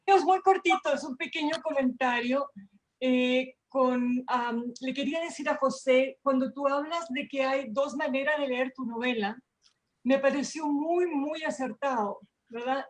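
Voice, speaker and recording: A woman's voice: high voice, moderate pace, poor audio. A woman from Chile, making a personal comment in a conversational way. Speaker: audience commenter.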